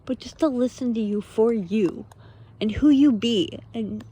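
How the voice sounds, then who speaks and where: A woman giving an earnest, unscripted spiritual pep talk in short, halting phrases, often posing rhetorical questions. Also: on the high side, mellow, husky. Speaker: motivational speaker, vlogger.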